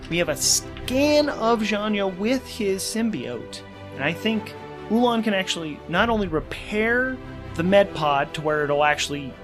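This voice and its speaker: A man working as game master and host of a solo tabletop game, thinking aloud as he narrates rules and story. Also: a little high. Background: music.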